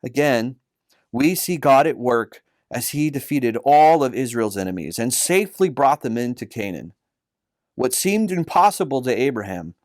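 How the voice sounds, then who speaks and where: A man reading a scripted children's Bible story as its narrator, in a measured, earnest manner. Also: medium pitch, no room sound, clean studio sound.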